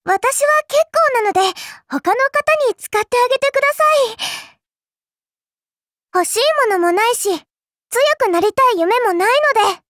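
A woman's voice: very high-pitched, moderate pace, a bit bright, no room sound, clean studio sound. A woman voicing a childlike, fairy-like game girl, cutesy and polite, with a sweetly teasing, faintly condescending manner. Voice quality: cutesy, girlish. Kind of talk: Japanese mobile game character voice lines.

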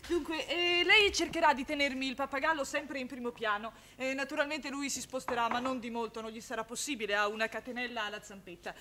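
A woman playing a brisk, businesslike television director giving instructions in a 1960s Italian TV drama. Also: high voice, steady tone, lightly acted. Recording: old TV studio soundtrack with busy chatter.

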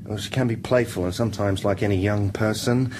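A man's voice: on the low side, fairly even intonation, a little fast, a little mellow, close to an everyday voice. An actor playing a male scientist in a radio drama, explaining things in a protective way. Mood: apologetic, explaining. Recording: clear background noise.

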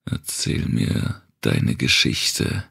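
A man voicing a menacing male captor in an audiobook, taunting in a rough whisper. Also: very slow, pushed and bright. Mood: quietly menacing. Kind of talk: audiobook character dialogue.

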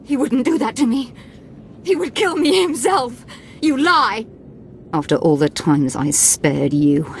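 High-voiced, volatile Sith lady. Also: very animated, measured pace, slight background noise. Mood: hurt fury. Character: volatile, vengeful female Sith councillor. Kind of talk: dramatic video game voice acting.

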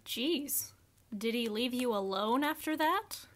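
A woman playing a gloomy, put-upon friend with a deadpan, aggrieved manner in a comic radio play. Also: on the high side, slow.

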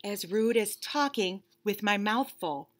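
A woman's voice: medium pitch, lively intonation, a little slow. A woman in her thirties reading a picture book aloud expressively, with emphatic, instructive delivery, like a teacher. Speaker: children's read-aloud storyteller, teacher. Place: small indoor room, seated.

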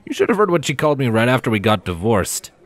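A man's voice: mid-range voice, very animated, fast, no echo, natural voice. A man voicing a genial, teasing middle-aged male scientist in a story. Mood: genial, playful. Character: genial greying male astrophysicist, playful.

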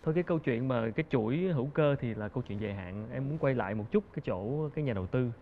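Brisk man host, dull lo-fi sound. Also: medium pitch, animated, slight background noise. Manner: brisk, polite probing questions. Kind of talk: TV talk show interview. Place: TV studio set, indoors.

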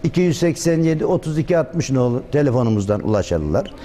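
Mellow-voiced middle-aged man, halting replies. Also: medium pitch, big pitch swings, a little hiss or hum. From Turkey. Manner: brief, halting, polite answers. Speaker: cafe owner guest. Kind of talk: interview answers. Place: indoor cafe restaurant.

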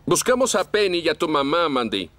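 An actor playing an earnest, dependable firefighter hero in a cartoon dub with a Latin American Spanish accent. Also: male voice, a little high, very animated, fairly quick, very noisy. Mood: earnest, brisk. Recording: loud music behind the voice.

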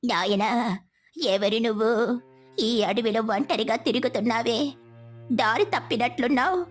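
A woman's voice: on the high side, unhurried. A woman voicing a shape-shifting sorceress disguised as an old woman, cackling and coaxing, for a storybook tale. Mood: sly. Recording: faint music behind.

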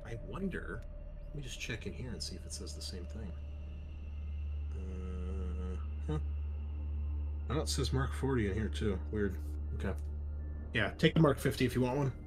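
A man's voice: lowish voice, lively intonation, normal speed, pauses often, music in the background. A man acting as game master, talking casually and thinking aloud as he checks the rules. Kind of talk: casual tabletop game refereeing.

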